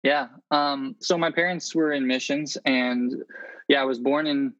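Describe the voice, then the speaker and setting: A man's voice: mid-range voice, steady tone, measured pace, a bit bright. A man speaking earnestly in a casual, conversational interview as a guest. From Indonesia and the Philippines. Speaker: interview guest, travel photographer. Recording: slightly dull, lo-fi audio.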